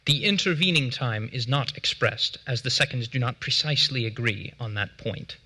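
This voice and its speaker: Bright, forceful actor as a formal duel second. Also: male voice, medium pitch. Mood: grave, solemn. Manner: formal, declamatory, deliberate, crisply enunciated. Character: formal early American duel second, measured and grave, reading account. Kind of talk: theatrical dramatic reading of period documents. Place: theatre stage before a seated audience.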